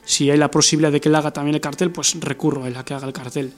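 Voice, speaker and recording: A middle-aged musician from Bilbao, answering interview questions thoughtfully, with many fillers and tag questions. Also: male voice, a little high, flat delivery, rapid-fire. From Bilbao, Spain. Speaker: musician guest.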